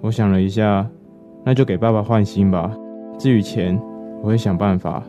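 A man's voice: on the low side, fairly even intonation, moderate pace, close to an everyday voice. An actor playing a weary man in his twenties who shoulders his family's burdens, confiding and reflective, in a radio drama. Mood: grave, resigned. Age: 20s. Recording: slightly muffled, guitar music behind.

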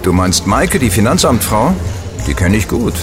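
An actor playing a chatty, superstitious casino gambler who gives knowing warnings in an audio drama. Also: male voice, lowish voice, very animated, moderate pace, music in the background. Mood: casual, friendly. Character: easygoing, superstitious casino gambler, male, worldly regular. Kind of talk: German audio drama dialogue.

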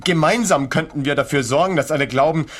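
An actor playing a meek, resentful, lovesick man in a radio play, his voice husky. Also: fairly high pitch, quick pace, fairly bright tone. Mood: pleading, wistful.

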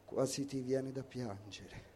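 A man reciting poetry slowly, with guitar music behind him. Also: mid-range voice, steady tone. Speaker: poetry reader. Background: plucked guitar music.

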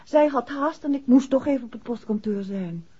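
An actress playing a light-hearted, chatty wife in a 1960s Dutch radio play. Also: female voice, a little high, normal speed, mellow, breathy edge. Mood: airy. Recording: dull, muffled vintage radio recording.

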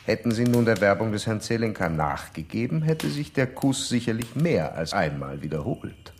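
A man's voice: lowish voice, big pitch swings, normal speed, darkish tone, a little hiss or hum. An actor playing a pompous male psychoanalyst in a radio drama, lecturing a patient in formal, pedantic, insistent terms. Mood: clinical, pedantic.

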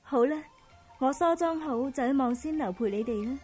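A woman's voice: sits high, animated, normal speed, over loud music. A woman playing a sweet, obliging young courtesan in a Cantonese audio drama.

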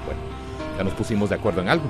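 Low-voiced, volatile Costa Rican husband played by an actor. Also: male voice, lively intonation, rapid-fire, over music, natural voice. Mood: gruff, controlling. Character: domineering, hot-tempered, jealous husband. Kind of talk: radio drama acting.

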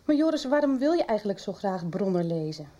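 Actress as literature teacher, woman, mellow, mid pitch, encouraging, radio drama. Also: very animated, brisk, dull audio, close to an everyday voice. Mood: supportive, curious. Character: kindly, encouraging female Dutch literature teacher. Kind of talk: 1990s radio soap acting.

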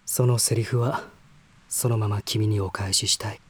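A man acting a polite, reserved, bashful lover in a Japanese voice drama, with soft, refined, slightly formal speech. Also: on the low side, steady tone, normal speed, breathy edge. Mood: fond, wry.

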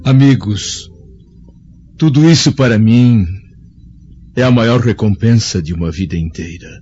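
An actor with a Brazilian accent playing a dignified, humble old Roman senator in a radio drama, formal and emotional. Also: male voice, fairly low pitch, measured pace, warm tone, husky, highs cut off, faint music behind. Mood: grateful, moved.

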